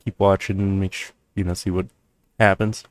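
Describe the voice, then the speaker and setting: Low-voiced man, casual gaming commentary. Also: flat delivery, moderate pace, no echo. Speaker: video game streamer. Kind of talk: casual let's-play commentary.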